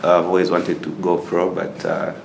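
Deep creaky young guy from zambia, hesitant answers, faint noise behind. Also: monotone. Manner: hesitant, many filler pauses. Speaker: student taking a mock speaking test. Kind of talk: unscripted interview answers.

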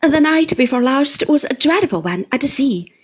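A woman voicing an earnest, sorrowful young gentleman in a classic novel reading, speaking formally, gently and haltingly. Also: high-pitched, lively intonation, voice pushed up. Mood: faltering dread.

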